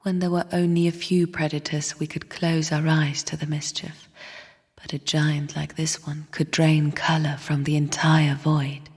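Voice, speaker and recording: A somber, bitter game spirit, speaks in a very breathy, hushed half-whisper. Her low voice moves slowly and cryptically, trailing into long cavernous reverb. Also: little pitch movement, full character voice. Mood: grave. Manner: slow, solemn, cryptic. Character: somber, bitter sister spirit of the void, guarding her chambers. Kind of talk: video game character voice lines.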